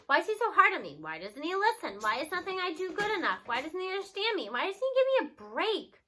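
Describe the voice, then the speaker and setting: A woman's voice: very high-pitched, wildly swooping pitch, fast talker, background noise, pitched above the performer's own voice, heavily acted. A woman voicing an earnest, troubled young boy in a children's storybook, unsure and full of plaintive questions. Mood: upset, pleading.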